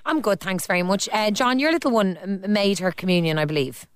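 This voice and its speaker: A woman's voice: medium pitch, brisk, smooth. A woman with an Irish accent hosting a phone-in radio show, chatting and teasing callers and reading out listener texts. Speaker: radio host. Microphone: studio mic.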